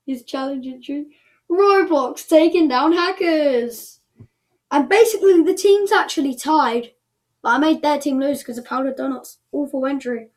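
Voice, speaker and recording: A woman's voice: high-pitched, normal speed, darkish tone. A woman casually announcing results as the host of an online show, chatty and thinking aloud as she goes.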